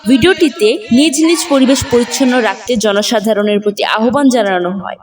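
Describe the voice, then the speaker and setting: A woman's voice: a little high, animated, brisk, recorded from a distance, natural voice. A woman playing a formal female television news reporter, reading a scripted report in a declarative way for a cartoon.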